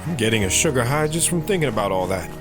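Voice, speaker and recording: A man playing a gentle, encouraging father in an audio drama, calm and reassuring. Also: medium pitch, fast talker, music in the background, close to an everyday voice. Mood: warm, reassuring.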